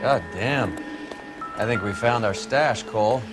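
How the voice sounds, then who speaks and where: An actor playing a brash, cynical police detective partner in a video game. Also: male voice, mid-range voice, a little slow, loud music behind the voice, lightly acted. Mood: gruffly casual.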